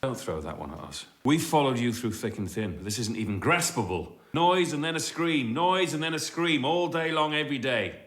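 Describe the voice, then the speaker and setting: A man's voice: mid-range voice, moderate pace, close to an everyday voice. An actor playing a tired, blunt male sound technician, reading out tape logs and pushing back against his boss in a radio drama. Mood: exasperated. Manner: flat, dry logging voice that turns exasperated. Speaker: sound technician logging tapes.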